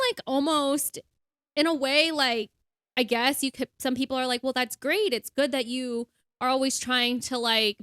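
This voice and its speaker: A young woman in her twenties co-hosting a podcast, talking candidly and quickly with lots of fillers. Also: a little high, fairly bright tone, no echo, clean studio sound. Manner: candid, talks fast with many "like" fillers. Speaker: podcast co-host. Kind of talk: casual chat.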